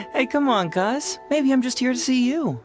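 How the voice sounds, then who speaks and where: A woman playing a clever, breezy, boyish young male detective with a smooth, playful delivery in an anime video game. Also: fairly high pitch, animated, slow pace. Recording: music playing behind. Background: background music.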